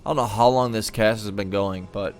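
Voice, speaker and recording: A man's voice: lowish voice, steady tone, brisk, faint music behind. A man giving live commentary on a real-time strategy match in an easygoing, thinking-aloud way, and he is losing his voice. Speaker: game caster.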